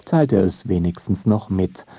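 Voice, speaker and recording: Low-voiced, measured male radio narrator. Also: expressive, dark tone, slightly rough, no room sound. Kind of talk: scripted radio feature narration. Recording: studio narration over music bed.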